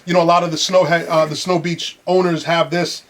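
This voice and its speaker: A man's voice: a little high, level tone, brisk, a bit bright. A middle-aged man talking casually in a recording studio about his clothing line, full of restarts and "you know". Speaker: streetwear brand founder. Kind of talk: casual chat. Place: recording studio control room.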